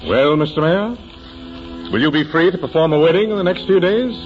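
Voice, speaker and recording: A man's voice: a little high, animated, brisk, faint music behind, natural voice. An actor playing a proud, dignified middle-aged father and judge in 1940s radio drama style. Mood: proud, fatherly. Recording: muffled old radio recording, clear hiss.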